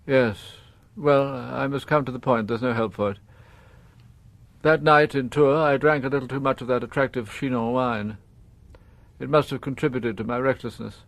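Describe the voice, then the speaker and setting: A man's voice: medium pitch, fairly even intonation, vocal fry, dry recording, close to an everyday voice. Dignified upper-class father, played by a man for radio drama: mid-range voice, guarded confession, careful wording, dry studio sound. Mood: rueful, halting. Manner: formal, reticent, halting, precise.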